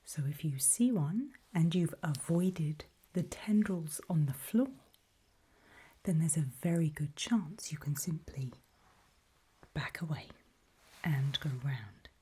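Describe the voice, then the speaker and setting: A woman performs an ASMR roleplay as a calm guide, whispering close to the microphone. Her voice is very breathy and very mellow, sitting low for a woman. She instructs deliberately, leaving pauses between short phrases.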